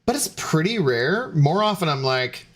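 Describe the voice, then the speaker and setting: A man's voice: on the high side, very animated, moderate pace, a bit bright. A young man, a game streamer, chatting loosely with viewers while tipsy, rambling with many fillers and restarts. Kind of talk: casual stream chat to viewers.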